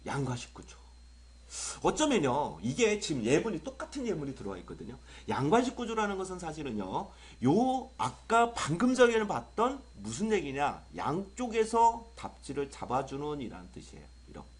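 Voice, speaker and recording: Husky, excitable male tutor. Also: on the high side, normal speed. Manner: excitable, exaggerated lecturing with rhetorical questions. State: sore throat from a cold. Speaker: English exam tutor. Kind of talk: online exam-prep lecture.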